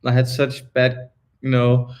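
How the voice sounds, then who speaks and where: A man's voice: medium pitch, monotone, measured pace, darkish tone. A Danish-accented man in his early thirties chats casually on a home livestream. He is self-mocking and dramatic and often trips over his words. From Denmark. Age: 30s. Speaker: vlogger co-host of a livestream.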